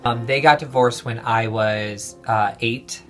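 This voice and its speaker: A man's voice: medium pitch, fairly even intonation, a little slow, a bit bright, soft background music. Hesitant young man in an interview. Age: 20s. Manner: hesitant, many ums and restarts. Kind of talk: casual personal interview.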